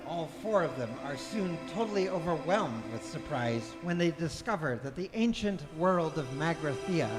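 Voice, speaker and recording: A man playing the narrator of a comic science fiction radio play, reading scripted narration in a live performance. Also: on the high side. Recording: music under the voice. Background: music.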